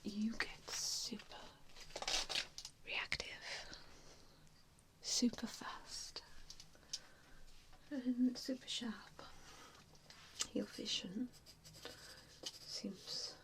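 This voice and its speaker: A woman doing an ASMR roleplay in a breathy whisper, speaking in a gentle, hesitant, reassuring way. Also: on the high side, steady tone, very slow, long pauses. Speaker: ASMR roleplayer playing an assessor. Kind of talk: ASMR roleplay, softly whispered personal attention.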